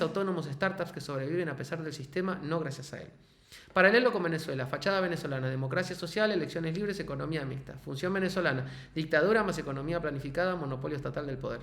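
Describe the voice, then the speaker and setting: AI assistant voice, male, reads structured points evenly, a bit high, echoey playback. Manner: even, declarative list delivery. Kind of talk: listed, structured answer.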